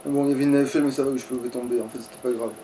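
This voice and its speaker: A man's voice: mid-range voice, steady tone, rapid-fire, dark tone. A man playing a video game and commenting casually in slangy, mildly crude language. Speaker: let's play gamer. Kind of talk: casual gaming chat while playing. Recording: distant mic with clear background noise.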